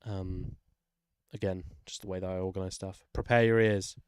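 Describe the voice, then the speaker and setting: A man thinking aloud in a casual, self-deprecating, sweary way as he works through a music software session. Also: low-pitched, flat delivery, moderate pace, clean tone, no room sound, clean studio sound.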